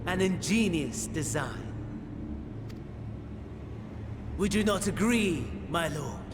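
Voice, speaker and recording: A man's voice: high-pitched, lively intonation, a little slow, background noise. An actor playing a smug, scheming imperial servant in a video game, grandiose and mock-reverent as he savors each phrase. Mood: sly, deferential. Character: theatrical, smug villain and scheming male servant of empire. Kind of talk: dramatic video game cutscene acting. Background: background music.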